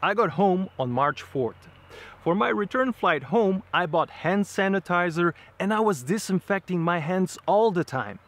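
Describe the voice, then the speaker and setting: A man in his thirties talking straight to camera outdoors in front of trees, explaining his experience in an earnest, measured way with slightly unusual phrasing. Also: sits high, a bit bright, no echo. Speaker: travel vlogger.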